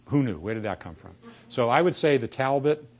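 A man in his sixties from Boston, with a Boston accent, telling wry stories in a relaxed lunchtime talk in a lecture room. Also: mid-range voice, brisk, husky. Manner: wry storyteller, quoting people with punchlines. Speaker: former dean giving a talk. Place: dark lecture room in front of chalkboards.

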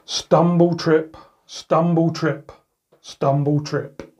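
A man's voice: a little high, little pitch movement, drawn-out words, a little mellow. A middle-aged man reading a picture book aloud to young children as a grandad, expressively, with playful sound words. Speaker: grandad reading bedtime stories. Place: indoors in a room at home, by a door.

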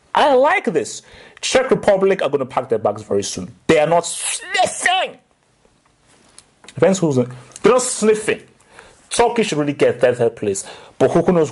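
A man's voice: mid-range voice, a little mellow. A young man giving a blunt, opinionated football match reaction from a desk in a small room. He talks emphatically, gestures a lot, and repeats his points. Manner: gestures animatedly while talking. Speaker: football pundit vlogger.

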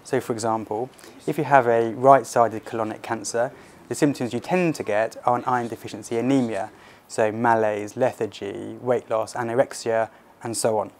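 A British man in his thirties with a mid-pitched voice speaks in a measured, clinical way. He explains like a consultant surgeon being interviewed for fellow doctors, recorded on a close mic indoors. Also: fairly even intonation, darkish tone, a little hiss or hum.

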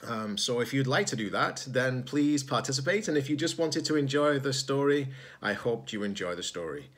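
A man's voice: mid-range voice, a bit bright. A man, a teacher-librarian reading a children's storybook aloud. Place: small indoor room at home.

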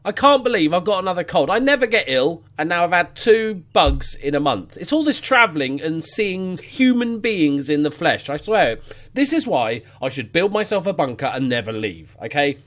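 A man's voice: on the high side, very animated, moderate pace, a bit bright. Sweary man with a stuffy cold. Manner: sweary, self-mocking, rambling. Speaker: let's play host. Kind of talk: casual gameplay commentary. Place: living room at home. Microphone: studio mic on stand, headphones.

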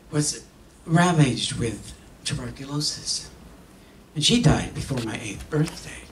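An older man performing a dramatic reading on stage in a solemn, theatrical manner with long pauses. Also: mid-range voice. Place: stage in an auditorium. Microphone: stand microphone heard from a distant camera. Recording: echoey hall, distant camera, faint noise.

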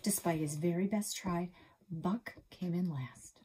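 A woman in her forties reading a children's book aloud expressively from a small office, often dropping to a soft whisper, recorded on a desk webcam. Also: lowish voice, measured pace, slight background noise. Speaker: library storyteller. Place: small office with bulletin board.

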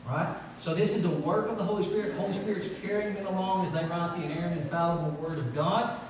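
A man's voice: high-pitched, steady tone, quick pace. A middle-aged man preaching a sermon, emphatically repeating phrases for stress. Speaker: pastor. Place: large church sanctuary, from the pulpit. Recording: echoey church hall, distant, faint noise.

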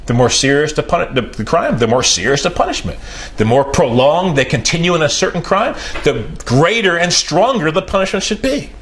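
A man's voice: sing-song, brisk, a bit bright. Man in his 40s preaching, voice swings way up and down, hammers points by repeating them, small room. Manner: emphatic, repeats key phrases, pitch rises and falls sharply. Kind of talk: sermon.

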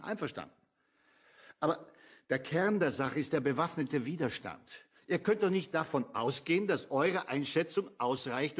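An actor playing an earnest, conscientious intellectual man in a radio play, husky and argumentative. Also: a little high, full character voice. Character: Jakob Ammon, earnest, conscientious left-wing intellectual man.

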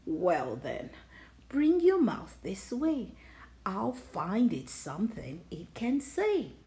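A woman's voice: mid-range voice, wildly swooping pitch, slow pace, dark tone, exaggerated voice. A woman voicing a sly, playful male fox from a storybook, coaxing and teasing insistently. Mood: smooth, coaxing.

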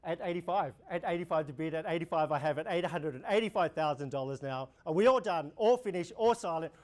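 A man's voice: fairly high pitch, quick pace. Australian man calling bids, rhythmic. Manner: rhythmic, repetitive bid calling, gestures while talking. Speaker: auctioneer. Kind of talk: auction calling in a competition. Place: indoor room before a projection screen.

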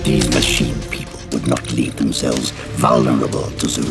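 An actor playing an imperious, ranting old mad scientist with a gravelly croak in an audio drama. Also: male voice, normal speed, lightly acted. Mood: bitter, menacing. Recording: trailer mix under loud music.

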